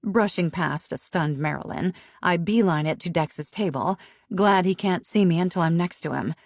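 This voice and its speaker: A woman narrating a romance audiobook in first person with an expressive, emotionally charged reading. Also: lowish voice, moderate pace, dry recording, clean studio sound.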